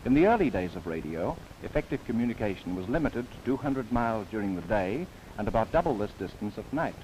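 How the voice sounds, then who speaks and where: Low, lively 1930s newsreel-style male narrator. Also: quick pace. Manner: formal, theatrical phrasing with wry asides. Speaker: film narrator. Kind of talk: 1930s government documentary narration, scripted. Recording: noisy 1930s film soundtrack.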